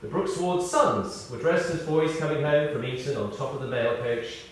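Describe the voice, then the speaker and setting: A British man reads a scripted memorial tribute as a eulogist, telling anecdotes in a measured way with pauses and emphasis. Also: fairly high pitch. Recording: very echoey, distant mic, faint noise.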